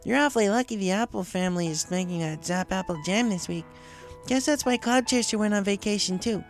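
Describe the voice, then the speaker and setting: A man's voice: sits high, brisk, faint music behind, voice pushed up. A man voicing a friendly, chatty female pony mail carrier in a storybook reading. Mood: cheerful.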